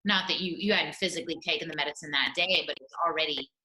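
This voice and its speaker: A woman facilitator calmly explaining and affirming in a relaxed, conversational way. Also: low-pitched, fast talker. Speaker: retreat facilitator. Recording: echoey room with faint noise.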